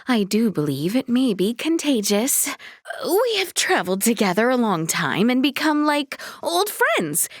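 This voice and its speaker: A young video game princess with a fairly high voice and a very expressive, swooping melody. She speaks formally and courteously, with earnest dignity. The sound is dry and close. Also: dry recording, full character voice. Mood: flustered. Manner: formal, polite, precisely worded speech.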